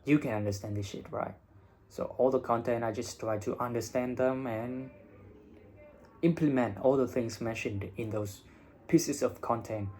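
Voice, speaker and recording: A man's voice: mid-range voice, normal speed, darkish tone. Blunt young man vlogging. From Vietnam. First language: Vietnamese. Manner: blunt, swears casually. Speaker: IELTS teacher and vlogger. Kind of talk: casual advice monologue.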